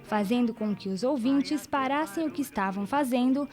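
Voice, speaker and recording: A woman with a Brazilian accent narrates a documentary from a script. Her voice sits a little high, with faint music playing behind it. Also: normal speed, a little mellow.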